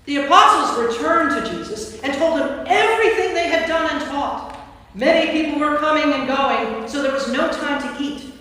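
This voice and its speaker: A woman's voice: sits high, normal speed, fairly bright tone. A woman giving a scripted dramatic narration of scripture as part of a staged reading. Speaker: narrator, priest. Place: large indoor church. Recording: echoey church hall from far off.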